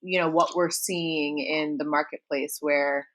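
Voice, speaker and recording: A woman's voice: low voice, fairly even intonation, unhurried, a bit bright, slight background noise. A woman hosting a beauty podcast, upbeat in her intro and direct when she questions her guest. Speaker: podcast host.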